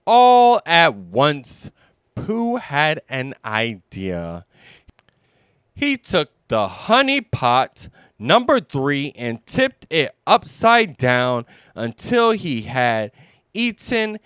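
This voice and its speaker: A man reading children's stories aloud with expressive, deliberate, emphatic phrasing. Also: a little high, clear, resonant tone, no room sound, studio quality. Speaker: storybook reader. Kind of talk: children's read-aloud narration.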